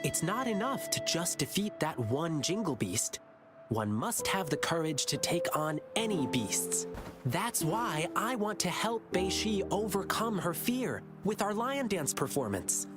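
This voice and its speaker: Expressive young fighter over loud music. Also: male voice, high-pitched, a little slow. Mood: earnest. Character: earnest young male lion dancer and protective fighter. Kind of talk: video game voice acting. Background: loud game music.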